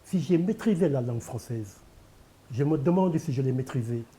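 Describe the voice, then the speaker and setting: A man's voice: mid-range voice, expressive, moderate pace, mellow. An older Senegalese man, a poet speaking French as a second language to Pulaar, answering in an interview with emphatic, repeated phrases. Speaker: poet and candidate guest. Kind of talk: televised interview.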